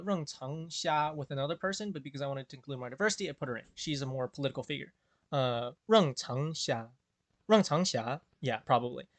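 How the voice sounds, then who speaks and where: Young american guy rambling earnestly, lots of ums and restarts, kinda high voice, dry close sound. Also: fairly bright tone, no room sound. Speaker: video creator. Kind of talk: casual rambling commentary.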